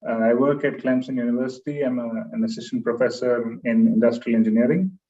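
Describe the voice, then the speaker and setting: A man's voice: mid-range voice, steady tone, fast, warm tone. A man introducing himself on a video call as a guest meditation lead, speaking in a friendly, plain way. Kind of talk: casual self-introduction. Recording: distant, dull lo-fi audio.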